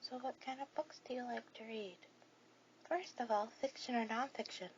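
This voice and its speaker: A shy, bookish teenage anime girl, played by a woman, speaks in a high, gentle, hesitant voice as if alone with her date. It is a sweet, soft ASMR roleplay, recorded somewhat dull with a faint bed of hiss. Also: fairly even intonation, moderate pace, lightly acted. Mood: gently chatty. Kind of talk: ASMR romantic roleplay addressed to the listener. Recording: dull lo-fi audio with clear hiss.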